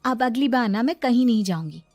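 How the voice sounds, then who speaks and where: महिला की आवाज़ में रहस्यमयी, मीठी पत्नी, ऊँचा सुर. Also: female voice, on the high side, animated, brisk, darkish tone, no echo. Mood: sweetly reproachful. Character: eerie young wife, sweetly insistent, unsettling.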